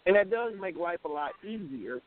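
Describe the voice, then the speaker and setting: A man's voice: high-pitched, animated, moderate pace. A man chatting casually as a talk show co-host, slangy and emphatic, repeating words to make his point. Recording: tinny phone line with hiss and noise.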